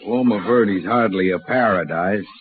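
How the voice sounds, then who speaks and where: An actor playing a wary, anxious, deferential sidekick in a 1950s radio western. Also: male voice, on the low side, unhurried, natural voice. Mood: uneasy. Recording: tinny, clearly noisy vintage radio broadcast.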